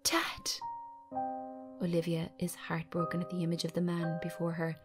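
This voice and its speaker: Low-pitched woman narrating a dramatic script. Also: completely flat delivery, quick pace, over music. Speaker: narrator reading a screenplay.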